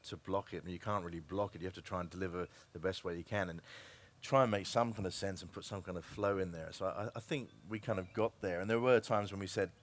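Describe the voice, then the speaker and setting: Deep-voiced, quick-talking man on a panel. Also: steady tone. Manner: halting, repeats words as he thinks aloud. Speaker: actor on a festival panel. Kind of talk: informal panel discussion. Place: live event room with an audience. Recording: noisy live room ambience.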